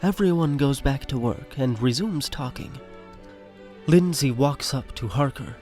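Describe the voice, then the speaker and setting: A man's voice: medium pitch, animated, measured pace, darkish tone. A man reading scripted stage directions as the narrator of an audio drama. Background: music.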